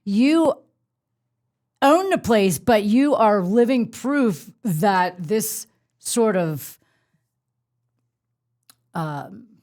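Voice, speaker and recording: A woman's voice: medium pitch, animated, very slow, long pauses, a little mellow. A woman hosting a podcast in a chatty, playful and easygoing way. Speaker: podcast host. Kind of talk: casual chat.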